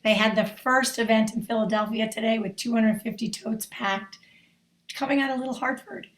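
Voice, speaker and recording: A hoarse woman giving chatty, effusive off-the-cuff remarks over a video call, full of rambling asides. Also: fairly high pitch, fairly even intonation, normal speed, reverberant. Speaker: federation volunteer leader, featured speaker. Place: at home. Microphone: video call mic.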